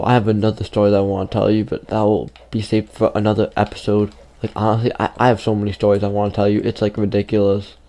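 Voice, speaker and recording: A man's voice: fairly low pitch, mellow. A fifteen-year-old boy telling a rambling story over gameplay, full of fillers, while losing his voice. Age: teens. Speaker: gaming YouTuber.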